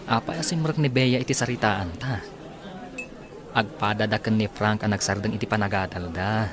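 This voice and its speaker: A man's voice: fairly low pitch, normal speed, a crowd in the background, close to an everyday voice. An actor with an Ilocano Filipino accent playing an easygoing young working man in a radio drama. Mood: chatty.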